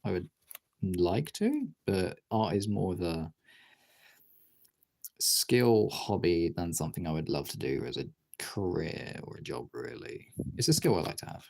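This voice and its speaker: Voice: low voice, sing-song, unhurried, vocal fry, a little mellow. A streamer chatting casually while drawing, rambling and thinking aloud in a self-deprecating way.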